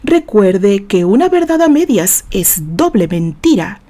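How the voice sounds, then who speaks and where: A woman's voice: medium pitch, big pitch swings, a little slow, warm tone. Woman reading a stern, commanding courtroom judge. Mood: stern, measured. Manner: formal, commanding. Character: stern, authoritative male judge presiding over a courtroom.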